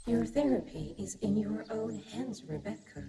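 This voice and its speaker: An actress playing a calm, cryptic female therapist in a radio drama, speaking in a measured, clinical, detached way. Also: mid-range voice, creaky, crowd noise. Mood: clinical, ominous. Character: calm, cryptic female therapist guiding a virtual-reality fear session. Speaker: actor. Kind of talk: radio theatre acting. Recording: long cavernous reverb, busy sound bed.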